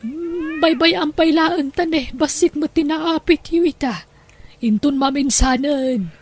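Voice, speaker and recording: A woman playing a kindly, generous sister-in-law, talking gently and solicitously in an audio drama. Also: sits high, a little slow. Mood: welcoming. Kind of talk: conversational audio drama acting.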